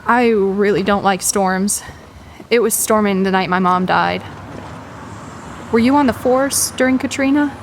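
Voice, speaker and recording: A woman playing a sharp, defiant young researcher in a horror audio drama, speaking formally, directly and challengingly. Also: mid-range voice, unhurried, long pauses, a little hiss or hum, lightly acted. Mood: softened.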